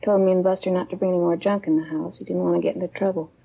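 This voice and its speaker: Young female junkie witness, very raspy with fry, offhand and slangy, on a dull vintage radio recording. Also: lowish voice, level tone, very fast. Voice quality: husky, gravelly. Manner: clipped slangy sentences, offhand. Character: jaded twenty-three-year-old addict with a petty record, evasive. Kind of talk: 1950s radio drama acting. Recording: muffled old radio broadcast recording.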